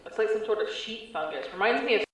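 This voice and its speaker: Actress as wary female field agent, audio drama. Lively pitch, terse observational reports, very echoey transmission. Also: quick pace, a little hiss or hum. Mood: wary, observant. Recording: echoey, distant, muffled transmission.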